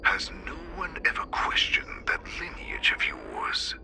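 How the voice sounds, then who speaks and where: An actor voicing a menacing, mocking wolfish beast-man warlord with a deep gravelly voice, slow and taunting. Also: wildly swooping pitch, background noise, heavily acted. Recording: music behind.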